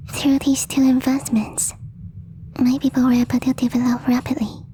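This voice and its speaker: Gentle alien girl female performer, ASMR roleplay: breathy whisper, even melody, soft mellow tone, shyly formal and slightly stilted delivery. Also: high-pitched, normal speed, a little hiss or hum, lightly acted. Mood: gentle, earnest explaining. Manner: hesitant, apologetic, formal slightly stilted phrasing. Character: gentle, devoted young female alien posing as exchange student.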